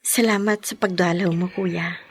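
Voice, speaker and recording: An actress with a Filipino accent playing a hurt, forgiving wife in a radio drama, pleading and emotional. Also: female voice, on the low side, steady tone, moderate pace, breathy edge. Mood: subdued, resigned.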